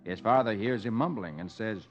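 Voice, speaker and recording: An actor playing a jolly comics-reading friend to children, in a theatrical 1950s radio storytelling style. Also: male voice, fairly low pitch, normal speed, lightly acted. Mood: animated storytelling. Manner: jolly, animated. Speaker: radio host and comics reader. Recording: lo-fi old radio, loud music behind.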